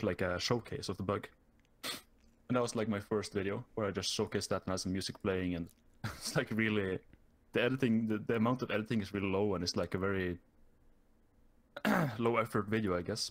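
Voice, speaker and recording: A young man with a Norwegian accent talks casually as an interview guest. His voice sits fairly low. He hesitates and restarts often, and he speaks into a desktop mic on a call. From Norway. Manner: hesitant, many fillers and restarts. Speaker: guest YouTuber.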